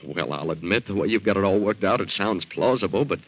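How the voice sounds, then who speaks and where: Radio thriller role: smooth-talking, evasive young man, low-pitched, swinging intonation, dull vintage sound. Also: animated, a little fast, dry recording, full character voice. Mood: persuasive. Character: soothing, evasive young man, dismissing a woman's worries. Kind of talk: old-time radio drama acting. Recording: muffled old radio recording.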